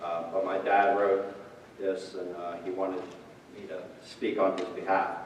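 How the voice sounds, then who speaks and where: A man's voice: deep voice, little pitch movement, a little slow, a little hiss or hum. A man reading a written tribute aloud at a memorial service. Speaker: family friend reading his father's tribute. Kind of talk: memorial speech read aloud. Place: large reverberant hall at a memorial service. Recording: muffled, echoey hall, distant mic.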